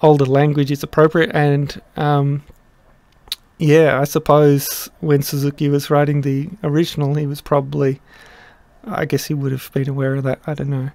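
A middle-aged man explaining his song translation in a casual, halting way, with many pauses and restarts. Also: fairly high pitch, monotone, a little mellow. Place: small room indoors.

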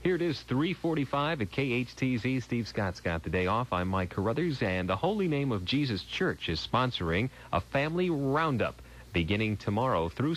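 Male radio DJ, 1980s FM patter, animated, faint noise from old cassette. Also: fairly low pitch, low-quality recording.

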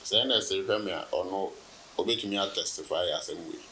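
A Ghanaian man in his thirties, a business guest in a relaxed sit-down interview, telling his story with laughs and anecdotes. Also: low-pitched, brisk, a little hiss or hum, low-quality recording. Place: indoor room, seated on sofa.

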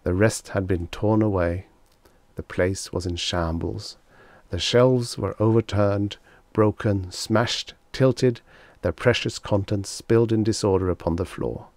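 A man with a fairly deep voice reads fiction as an audiobook narrator. His delivery is measured and expressive. The recording is dry, free of room sound. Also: dry recording. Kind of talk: scripted story narration.

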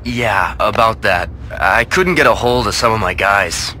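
An actor playing a nervous, defensive young-sounding street dealer in a video game, using hesitant, protesting slang. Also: male voice, fairly low pitch, unhurried, sharp tone. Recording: clearly noisy, car interior ambience.

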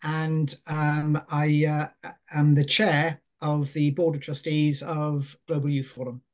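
A man whose first language is Welsh introduces himself on a panel, halting, with many fillers. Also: a little high, little pitch movement. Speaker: board chair, panel guest. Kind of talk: casual introduction.

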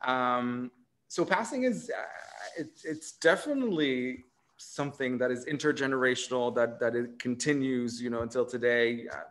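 A man's voice: mid-range voice, normal speed, a bit bright. A man in his thirties from Israel with an Israeli accent, talking in an academic conversation on a video call from home, thoughtful, with many fillers, restarts and tag questions. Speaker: author in conversation. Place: home room indoors.

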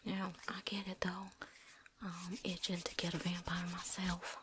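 A woman playing a seasoned, wary but friendly vampire hunter in a hushed, folksy ASMR roleplay whisper. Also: fairly low pitch, completely flat delivery, a little slow, noisy background, lightly acted. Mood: teasing, friendly. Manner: hushed, folksy drawl, teasing.

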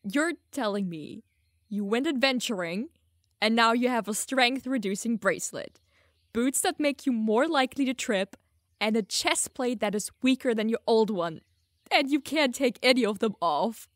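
A woman's voice: on the high side, lively intonation, moderate pace, no echo, clean studio sound, natural voice. A young woman playing a teasing, smug, secretly lovestruck elf mage in an audio roleplay, playful and easily flustered. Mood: amused, teasing. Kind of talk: audio roleplay addressed to the listener.

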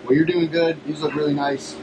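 An American man in his thirties with a slightly high voice talks quickly in casual, upbeat vlog style. He is chatty and informal, recorded at arm's length in a shop with clear background noise. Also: flat delivery. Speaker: shop vlog host, IT worker. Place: large metal-roofed workshop.

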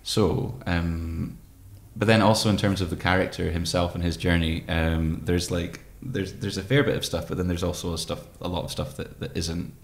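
Male interview guest, thirties, very low voice with vocal fry, tentative and reflective. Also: steady tone. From Scottish Highlands. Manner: hesitant, thoughtful, halting. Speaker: guest, poet and musician. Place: room with tall windows, indoors. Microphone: microphone on desk stand.

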